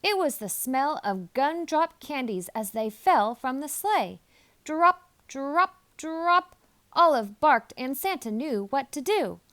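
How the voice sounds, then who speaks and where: A woman narrating a children's story expressively, leaning deliberately on key words. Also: high-pitched, big pitch swings, a bit bright. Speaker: storybook reader.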